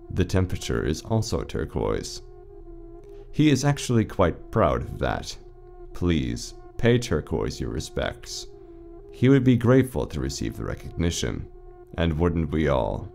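A man playing an eerily calm, reassuring announcer in a surreal audio drama, measured, soothing and deadpan. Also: very deep voice, vocal fry, full character voice.

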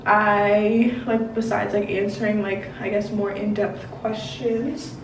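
A woman's voice: mid-range voice, flat delivery, takes it slowly. A woman of twenty-one chatting casually and rambling with many fillers while she gets ready in a bathroom, on a camera a few feet away. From Washington, D.C. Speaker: beauty vlogger. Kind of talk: casual get-ready-with-me chat. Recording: roomy bathroom echo, mic at a distance, noisy.

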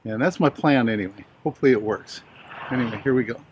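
A man's voice: medium pitch, fast, dull audio, a little hiss or hum. An older man, around his sixties, chatting casually to camera in a folksy, rambling, easygoing way as he hosts a hobby video.

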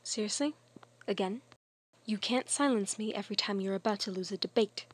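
A woman voicing a serious, logical young man in an amateur comic dub, deadpan and matter-of-fact. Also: medium pitch, brisk, lightly acted. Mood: dry, exasperated.

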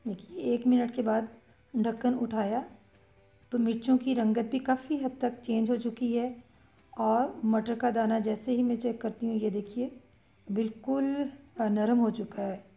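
Gravelly-voiced woman explaining a recipe. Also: fairly high pitch, a little slow, faint music behind. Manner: calm step-by-step explaining with fillers. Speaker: cooking channel host. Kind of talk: voice-over cooking instruction.

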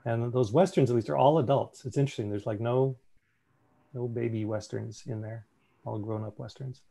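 A man's voice: mid-range voice, steady tone, normal speed, gravelly, warm tone, breathy edge. A man casually presenting a slideshow on a video call. He thinks aloud, with fillers and restarts. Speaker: meeting presenter.

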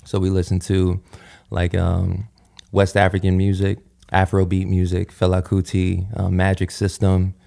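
A man's voice: low voice, completely flat delivery, a little slow, creaky, mellow. A young man from Nigeria, guest singer on a studio podcast, talking in a thoughtful, easygoing way. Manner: thoughtful, easygoing, laughs often. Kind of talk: relaxed conversational interview.

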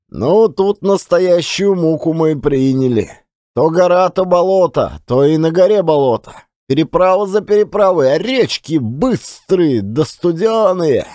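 A man's voice: sits high, a little mellow, dry recording, studio quality. A man performing a dramatized audiobook as a folksy Russian working man telling colloquial tales of his adventures. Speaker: audiobook narrator and actor. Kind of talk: one-man dramatized audiobook reading of classic fiction.

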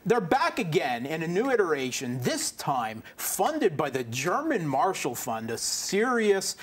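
A man giving political commentary as a studio panel guest, scornful, with halting starts. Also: fairly high pitch, huge pitch swings.

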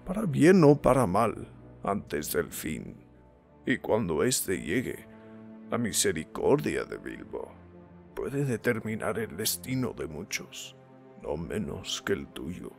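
A man voicing a wise old wizard in a fantasy film dub, solemn and deliberate. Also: low voice, gravelly, darkish tone, heavily acted.

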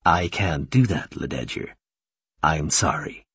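Audiobook role: courteous male emissary, read by a man, lowered rough-edged voice, restrained, apologetic, dry sound. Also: lively intonation, moderate pace, no room sound, dull audio, heavily acted. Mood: regretful. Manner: formal, gentle, restrained. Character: calm, courteous, regretful male emissary, formal and measured. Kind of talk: audiobook character dialogue.

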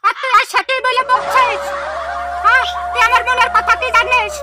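A woman's voice: very high-pitched, steady tone, moderate pace. A woman playing an aggrieved, grumbling old grandmother with a rural Bengali accent, scolding and complaining in an amateur village comedy skit. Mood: scolding, reluctant. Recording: loud music over the dialogue.

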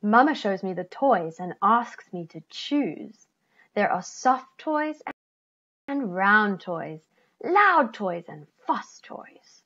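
Slow, lively woman reading a picture book. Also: medium pitch, breathy edge. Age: middle-aged. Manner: expressive, deliberate phrasing with emphasised contrasting words. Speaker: children's story reader. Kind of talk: read-aloud picture book narration for children. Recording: slightly dull, lo-fi computer recording.

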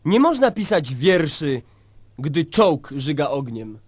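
A man's voice: fairly high pitch, sing-song, slow, very noisy. A man giving a solemn, declamatory recitation, as in the prologue of a drama.

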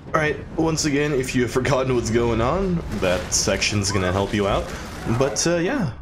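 A man's voice: mid-range voice, lively intonation, normal speed, over music. A man giving casual, rambling let's play commentary as a gaming host, with a wry, joking manner.

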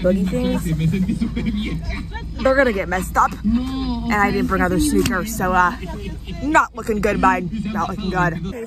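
A young adult woman chatting casually to camera as a travel vlogger, recorded on a handheld phone. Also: medium pitch. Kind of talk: casual vlog chat. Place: varies: vehicle, outdoors, hotel room.

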